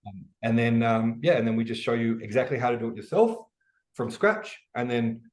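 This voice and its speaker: Fast-talking Australian man. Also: medium pitch. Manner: energetic storytelling, quick with many fillers. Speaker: guest, e-commerce educator. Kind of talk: podcast interview.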